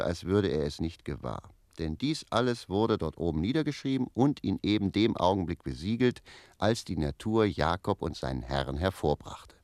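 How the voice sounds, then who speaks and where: A man's voice: on the low side, darkish tone, dry recording, clean studio sound. A man playing a cheeky, self-assured manservant in a radio play, arguing and philosophizing in literary dialogue. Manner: argumentative, philosophizing.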